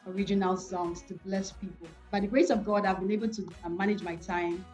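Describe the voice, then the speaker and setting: A woman's voice: mid-range voice, fairly even intonation, fairly quick, a little mellow, faint music behind. A woman from Nigeria with a Nigerian accent, speaking earnestly and devoutly as a gospel singer being interviewed. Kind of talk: personal interview talk.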